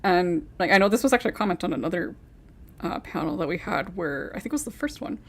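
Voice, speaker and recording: A young woman hosting a casual panel chat, chatty and quick to laugh, sometimes losing her train of thought. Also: fairly low pitch, animated. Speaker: panel host.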